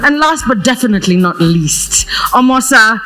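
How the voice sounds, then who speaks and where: A woman's voice: fairly high pitch, animated, moderate pace, music in the background. Playful Kenyan woman hosting a panel. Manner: playful, loud, laughs often. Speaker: panel moderator. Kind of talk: live panel moderation. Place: conference stage before an audience. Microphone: handheld stage mic.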